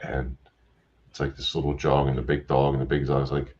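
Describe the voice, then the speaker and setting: A man calling into a live chat, talking in a halting, rambling way with many fillers. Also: extremely low pitch, completely flat delivery, a little mellow. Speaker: guest caller. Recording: dull lo-fi call audio with faint noise.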